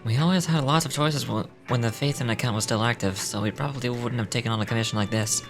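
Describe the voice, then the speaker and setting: A man's voice: mid-range voice, fast talker. A man reading game dialogue aloud in character, with small stumbles as he reads. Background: music.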